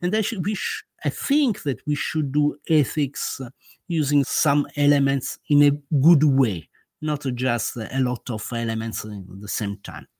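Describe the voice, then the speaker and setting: A man's voice: medium pitch, animated, unhurried, darkish tone, no room sound, studio quality. A man with an Italian accent, a guest author in a casual interview, speaking hesitantly with many fillers and restarts. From Italy.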